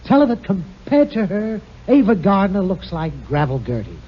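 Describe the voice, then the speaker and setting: A man's voice: sits high, big pitch swings, moderate pace, some chatter behind, slight background noise. An actor playing a pompous, sarcastic middle-aged male boss who brags theatrically, in a 1950s radio sitcom. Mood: bragging. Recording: muffled old radio broadcast.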